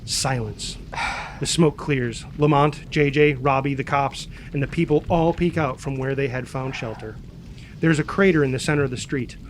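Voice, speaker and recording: A man's voice: medium pitch, slight background noise. A man reading screenplay stage directions as a dramatic scripted narrator.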